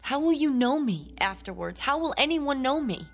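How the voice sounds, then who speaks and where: Earnest young woman, higher-pitched. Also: expressive, moderate pace. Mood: troubled. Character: earnest, defiant, troubled eighteen-year-old young woman. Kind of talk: radio drama acting.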